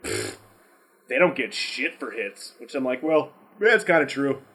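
A man's voice: medium pitch, moderate pace, creaky. A man chatting casually as a podcast host, talking in a rambling, slangy way with plenty of swearing. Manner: profane, slangy, animated rambling. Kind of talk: casual unscripted podcast chat.

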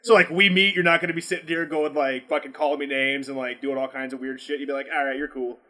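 A slightly tipsy man hosting a podcast, chatting casually and asking questions in an animated, incredulous way, swearing freely. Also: medium pitch, fast. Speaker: podcast host.

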